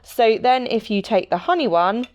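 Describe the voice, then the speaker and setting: A woman's voice: a little high, expressive, normal speed, darkish tone. A young woman hosting a podcast, chatting casually and agreeing often. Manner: chatty, frequent agreeing interjections. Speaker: podcast host. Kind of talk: casual chat.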